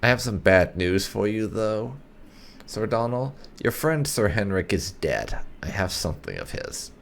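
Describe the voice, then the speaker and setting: A man's voice: lowish voice, very animated, measured pace, vocal fry, slight background noise. A man giving casual roleplay playthrough commentary as a gaming host, musing and thinking aloud.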